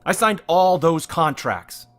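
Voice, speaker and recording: A man's voice: fairly high pitch, sing-song, takes it slowly, a bit bright, soft background music, exaggerated voice. A man playing a bitter, defiant security guard facing punishment in a naturalistic audio drama, clipped and sarcastic. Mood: cold, bitter. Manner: clipped and sarcastic, rising to indignation.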